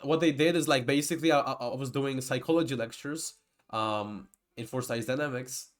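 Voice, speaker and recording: A man's voice: medium pitch, brisk. A young man, a native Georgian speaker, giving a rambling, casual lecture with many restarts and digressions. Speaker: typology lecturer.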